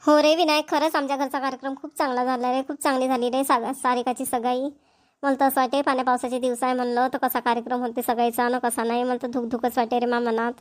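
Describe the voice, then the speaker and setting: Woman voicing a high-pitched, homely Varhadi village housewife. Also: little pitch movement, quick pace, lightly acted. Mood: pleased, relieved. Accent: rural Maharashtrian, Varhadi. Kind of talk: Marathi village family cartoon dialogue.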